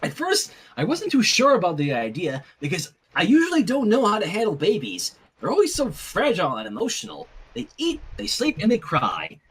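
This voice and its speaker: A man's voice: high voice, huge pitch swings, a little fast, hardly any pauses, a bit bright, slightly rough, exaggerated voice. A man voicing a brash, cocky young woman with a casual, confident and slangy way of talking in a story reading. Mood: fond, casual.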